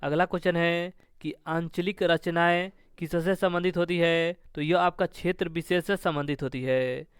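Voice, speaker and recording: A man with a smooth, clear and fairly high voice works through exam questions as a teacher. He reads each question and gives the answer in a formulaic way, returning to the same stock phrases. The sound is dry and close, with no room echo. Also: fairly even intonation, normal speed, few pauses. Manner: formulaic, repeats stock phrases between items. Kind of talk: quiz-style lesson, reading questions and answers.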